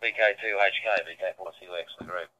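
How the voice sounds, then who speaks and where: A man's voice: deep voice, steady tone, fast talker, pushed and bright. A man heard over a two-way radio, chatting informally as an amateur radio operator. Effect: radio transmission. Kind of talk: unscripted ham radio exchange. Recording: dull band-limited two-way radio audio.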